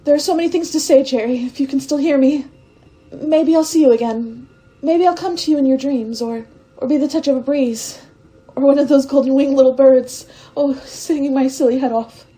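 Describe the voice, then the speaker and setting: Young stowaway woman, played by an actress, radio drama. High, mellow, tender and brave, earnest with hesitations. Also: normal speed, soft background music, close to an everyday voice, low-quality recording. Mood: distraught, then wistful, brave. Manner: wistful, hesitant, emotionally earnest delivery.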